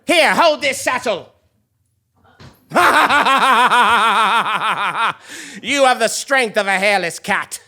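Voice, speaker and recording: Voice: mid-range voice, lively intonation, extremely slow, long pauses, sharp tone, a little hiss or hum, full character voice. A performer playing a proud, snobbish, indignant royal tax collector in a live comic stage audio play, with a blustering, theatrical manner. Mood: scornful, proud.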